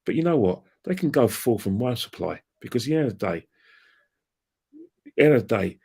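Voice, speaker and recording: A man's voice: medium pitch, big pitch swings, brisk, creaky, warm tone, breathy edge. A man, ranting in a heated casual chat about football fandom and trading colourful insults. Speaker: fan channel guest.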